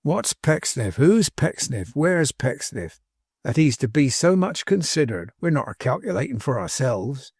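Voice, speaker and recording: A man's voice: fairly high pitch, animated, a little fast, darkish tone, no echo, studio quality. A man voicing a cheery, loyal young working-class manservant in a storybook, with bold, insistent, colloquial and ungrammatical speech. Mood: scornful, fervent. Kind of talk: dramatised audiobook character dialogue.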